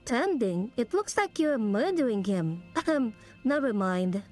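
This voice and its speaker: Female gamer, slightly high voice, unhurried pace, casual commentary and read-aloud dialogue over faint music. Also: very animated, darkish tone. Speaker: gamer playing through a story quest.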